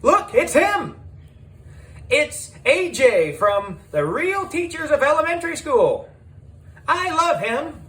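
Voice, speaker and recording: A man voicing excited, gushing young female fans in a children's audiobook. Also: very high voice, slow, pitched above the performer's own voice, heavily acted. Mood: starstruck. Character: shrieking young female fans mobbing a boy celebrity.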